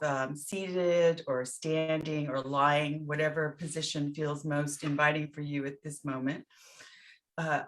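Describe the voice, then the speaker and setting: A woman with a low voice guides a meditation at a very slow pace. Her delivery is gentle and soothing, with short phrases set apart by pauses, as a teacher leading listeners through each step.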